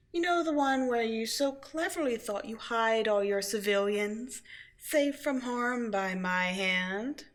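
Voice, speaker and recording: A scheming female supervillain for an audio drama. She is high and bright, grandiose and scornful, and she draws out her taunts with sweeping emphasis. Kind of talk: scripted audio roleplay acting.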